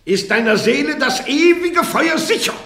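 An actor playing a stern, fanatical church inquisitor in a radio drama, preaching and declaiming. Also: male voice, very high-pitched, animated, moderate pace, pushed and bright, full character voice. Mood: thundering, accusing. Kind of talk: classic German radio drama acting. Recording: echoey, roomy radio drama recording.

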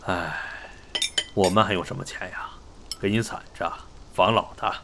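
A man's voice: sits low, fairly even intonation, moderate pace, gravelly, noisy background. A man playing a weary, stern older father in a drama, sighing and reproachful. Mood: weary, resigned.

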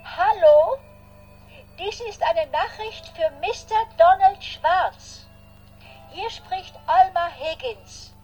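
A woman's voice: very high-pitched, slow, darkish tone, soft background music, low-quality recording. An actress playing a chatty, polite old lady who rambles formally in a radio drama phone message. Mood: polite, earnest. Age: older.

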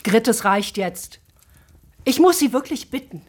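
A woman's voice: a little high, animated, measured pace, background noise. An actress playing a stern woman who leads a reading circle and speaks in curt, reproving tones. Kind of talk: radio drama acting.

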